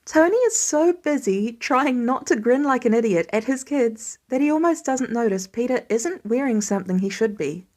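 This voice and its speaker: A woman narrating fiction in an expressive scripted reading style, as an audiobook reader. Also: a little high, moderate pace, hardly any pauses.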